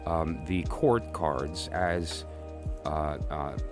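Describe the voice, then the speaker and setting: A very low-voiced man from Brooklyn hosts a tarot livestream, talking loosely and circling back on himself with plenty of ums and restarts. Music plays clearly underneath him the whole time. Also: extremely slow. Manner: rambling, lots of fillers and restarts. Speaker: tarot channel host. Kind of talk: casual livestream talk.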